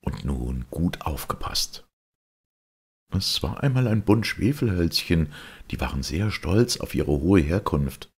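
Very deep, gravelly male storyteller. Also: animated, slow, a little mellow. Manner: theatrical, varied. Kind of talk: expressive fairy-tale narration.

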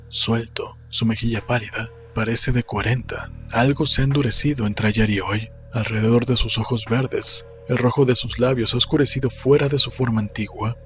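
A man, reading literary fiction in a measured, solemn manner. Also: medium pitch. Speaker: audiobook narrator. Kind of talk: scripted literary narration. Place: small room indoors. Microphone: desk microphone close to the mouth, headphones. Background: soft music.

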